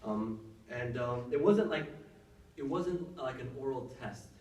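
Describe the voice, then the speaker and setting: A man's voice: mid-range voice, expressive, measured pace, darkish tone. A young man from Saudi Arabia, a doctor giving casual advice to students, talking conversationally with many fillers and restarts. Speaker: workshop speaker, doctor. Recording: echoey room, mic at a distance.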